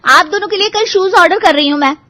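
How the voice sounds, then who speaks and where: Woman voicing a very high, chirpy young daughter-in-law. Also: fast talker, bright, forceful tone. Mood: helpful. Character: outspoken young daughter-in-law, cheerful and cheeky. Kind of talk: Hindi animated moral story dialogue. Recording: dull, lo-fi audio.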